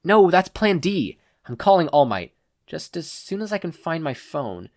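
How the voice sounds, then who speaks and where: A narrator voicing an excitable, exasperated teenage boy in an audiobook. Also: mid-range voice, moderate pace, no room sound, clean studio sound, pitched above the performer's own voice. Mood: panicked, exasperated.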